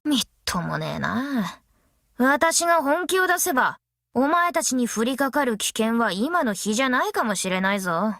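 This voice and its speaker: A woman voicing a brash, easygoing, long-lived female master metalsmith in an anime game, with rough, cocky, tomboyish speech. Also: on the high side, very animated, moderate pace, a bit bright, no room sound, studio quality. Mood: cocky, scolding. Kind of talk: anime mobile game character voice lines.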